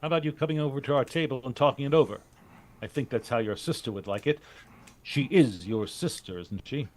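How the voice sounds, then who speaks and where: A man's voice: mid-range voice, darkish tone, lightly acted. A man playing a cool, commanding American troubleshooter in a hard-boiled 1940s-style radio drama. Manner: brisk, wry, hard-boiled.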